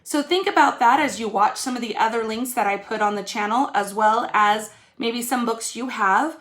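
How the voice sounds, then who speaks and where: A woman's voice: fairly high pitch, normal speed, a bit bright. A woman teacher giving young pupils an informal video lesson, explaining step by step and addressing them directly. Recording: echoey room.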